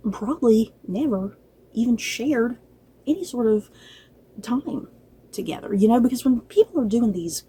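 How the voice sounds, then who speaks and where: A woman's voice: on the high side, expressive, normal speed, dark tone, husky. A woman giving a casual, conversational review to viewers, hesitant at times but emphatic about her opinions. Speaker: book and film reviewer.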